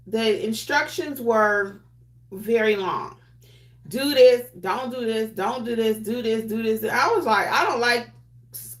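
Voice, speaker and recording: A woman hosting a livestream in casual, candid chat. She speaks softly, with her quiet voice on, and uses easy slang as she answers viewer comments. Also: a little high. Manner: candid and chatty, with colloquial slang. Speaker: notary trainer hosting a livestream.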